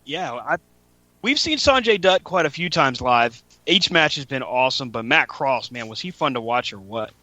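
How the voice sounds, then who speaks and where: A man's voice: medium pitch, lively intonation, normal speed, pushed and bright, no room sound. A man hosting a wrestling podcast, chatting casually, joking and laughing at himself. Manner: joking, laughs often, self-mocking. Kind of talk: casual chat. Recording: dull lo-fi podcast audio.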